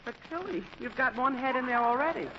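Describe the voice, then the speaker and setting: A woman's voice: sits high, quick pace. An actress playing a plucky, wry young woman in a vintage radio comedy. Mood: taken aback. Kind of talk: 1940s radio comedy acting. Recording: muffled, noisy old radio recording.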